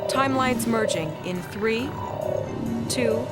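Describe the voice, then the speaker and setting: Military woman officer, clipped, alert, raised pitch, loud score underneath, game cutscene. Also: animated, slow. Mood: alert, tense. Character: brisk young female military lieutenant, alert and professional. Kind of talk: video game cutscene acting. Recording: loud music behind the voice.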